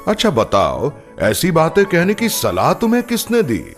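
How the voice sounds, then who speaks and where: Animated, imperious cartoon emperor. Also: male voice, on the high side, normal speed, faint music behind. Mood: curious. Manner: regal, declamatory. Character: imperious Mughal emperor, easily alarmed, commanding. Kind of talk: children's animated story dialogue.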